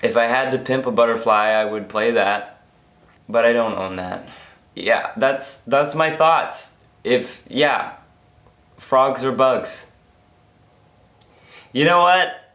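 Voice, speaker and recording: A tired young man vlogging casually, hoarse and losing his voice from being sick, rambling and interrupting himself. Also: medium pitch, takes it slowly, long pauses, fairly bright tone. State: tired after a long work shift. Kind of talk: casual daily vlog. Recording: echoey room.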